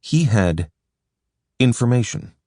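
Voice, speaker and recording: A man narrating a nonfiction audiobook in a measured, even storytelling delivery, recorded close in a studio. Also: mid-range voice, no room sound. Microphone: close studio microphone.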